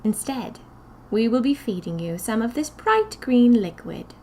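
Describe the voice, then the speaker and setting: A woman's voice: fairly high pitch, expressive, measured pace, darkish tone, a little hiss or hum, pitched above the performer's own voice. A woman voicing a small, stern, schoolmistress-like leader of a sinister magical society in audio fiction, speaking in a commanding, formal, ceremonious way. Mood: cold, commanding.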